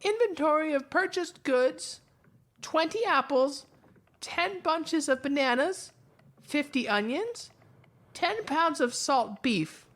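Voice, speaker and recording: A woman plays a crew member reading a journal aloud in an audio drama. Her voice is high, and the phrasing is formal, pompous and old-fashioned. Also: animated, takes it slowly, a bit bright, heavily acted. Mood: reading, incredulous.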